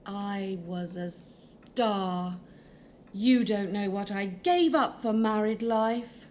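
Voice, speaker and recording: A woman's voice: medium pitch, takes it slowly, darkish tone, natural voice. A woman playing a vain, theatrical ghost who was once an opera singer, speaking grandly in an audio drama. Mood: wistful, boastful. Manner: grand, self-important, dramatic. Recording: noticeable background noise.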